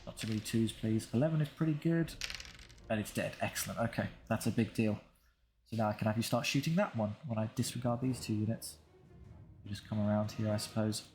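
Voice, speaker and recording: Male gaming commentator, lowish voice, understated and dry, thinking aloud casually over faint music. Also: fairly quick, darkish tone. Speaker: gaming video creator commentating his own playthrough.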